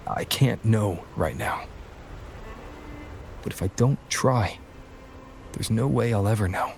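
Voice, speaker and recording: Gravelly, resolute male game hero. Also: on the low side, slow, a little hiss or hum. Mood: quietly resolute. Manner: earnest, understated, reflective. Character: calm, resolute young male wandering hero. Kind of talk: scripted video game voice acting.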